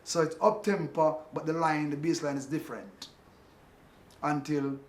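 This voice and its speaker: A man, a singer, explaining in an interview how the music's rhythm changed, vocalizing bass lines and piano beats. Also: steady tone, normal speed, reverberant.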